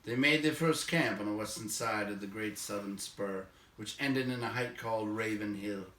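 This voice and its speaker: A man narrating a story aloud in a measured, expressive way, letting phrase endings fall away quietly. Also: fairly low pitch. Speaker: audiobook reader, narrator. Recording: roomy echo, distant microphone.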